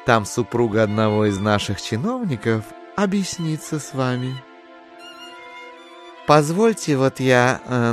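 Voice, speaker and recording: A zealous male customs official in an audio play. He speaks very slowly with unctuous, exaggerated courtesy over light violin music. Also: mid-range voice. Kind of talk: audio drama acting.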